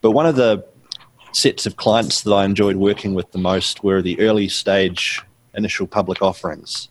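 A middle-aged man with a New Zealand accent, answering interview questions in a measured, explanatory way with frequent ums and restarts. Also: sits low. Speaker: guest author.

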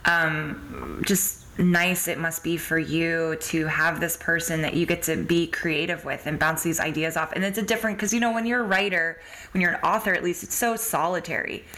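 A woman's voice: fairly low pitch, steady tone, normal speed, pushed and bright, rough recording. A woman hosting a casual podcast interview in an agreeable, encouraging way. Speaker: podcast host.

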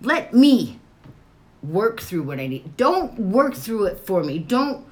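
A woman's voice: mid-range voice, big pitch swings, slow. A woman in a heated personal conversation. She speaks firmly and insistently, cutting in with short, pointed commands.

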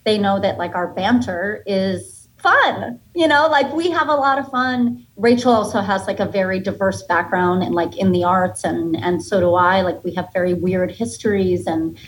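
A woman's voice: medium pitch, normal speed, hardly any pauses, rough recording. An American woman in her thirties, a comedian being interviewed, talking casually and candidly in a chatty, playfully joking way. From United States, Massachusetts and Florida. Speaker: podcast guest, stand-up comedian.

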